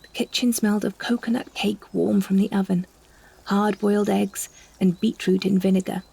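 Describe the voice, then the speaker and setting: A woman narrating a scripted first-person story in a measured, reflective manner. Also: medium pitch, steady tone, vocal fry, warm tone, breathy edge, rough recording. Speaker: solo performer narrating a story.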